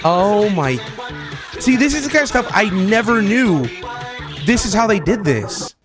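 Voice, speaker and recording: A man hosting a reaction video, talking casually to viewers with excited, repeated exclamations. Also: high-pitched, unhurried, over music. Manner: casual, repetitive exclamations, addresses viewers familiarly. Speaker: video host reacting to game clips.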